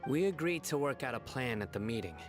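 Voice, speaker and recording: An actor playing a stern, cautious former law enforcer in a video game drama, speaking in a grave, formal, measured way. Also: male voice, medium pitch, animated, lightly acted. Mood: stern, firm. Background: music.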